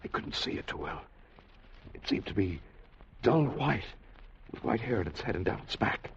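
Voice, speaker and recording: An actor playing a grave, questioning, fatherly doctor and time traveler in a 1950s radio drama, with a husky, gravelly voice. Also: lowish voice, very animated, normal speed, slight background noise. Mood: grave pondering. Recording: dull vintage 1950 radio recording.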